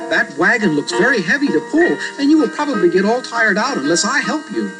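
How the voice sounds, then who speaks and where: A man's voice: sits high, big pitch swings, brisk, music in the background, pitched above the performer's own voice, low-quality recording. A man voicing an eager, food-loving young boy in a children's storybook read-aloud.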